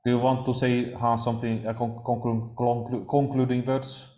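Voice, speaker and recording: A Swedish man giving an academic talk, speaking haltingly with frequent pauses and restarts. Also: medium pitch, level tone, mellow. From Sweden. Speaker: lecturer. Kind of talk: academic discussion. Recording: roomy echo.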